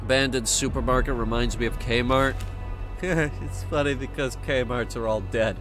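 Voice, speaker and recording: A man's voice: mid-range voice, steady tone, measured pace, over music. A man doing casual live game commentary as a streamer, chatting to viewers in a wry, matter-of-fact way.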